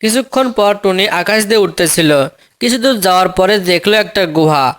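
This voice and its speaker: A man's voice: sits high, animated, a little fast, fairly bright tone. A man narrating a scripted children's animal story.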